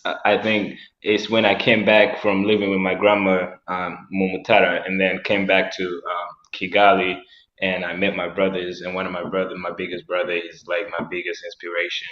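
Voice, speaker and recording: A young man from Rwanda, a musician telling his story in an interview in a reflective, halting way with many ums. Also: deep voice, flat delivery, a bit bright. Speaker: musician and guest. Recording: echoey, dull lo-fi sound.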